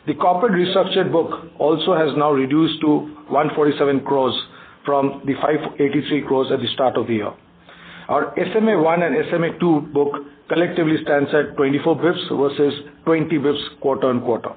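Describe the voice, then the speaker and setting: A man with an Indian accent reads a prepared financial briefing as a bank executive, haltingly, stumbling over and repeating words. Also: a little high. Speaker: bank executive on an earnings call. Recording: tinny phone conference line, faint noise.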